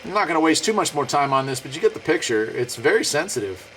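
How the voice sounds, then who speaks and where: A man's voice: on the high side, big pitch swings, fast, a bit bright, poor audio. Casual man demoing gear, faint background noise. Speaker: radio reviewer and vlog host. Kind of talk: casual hands-on product demo.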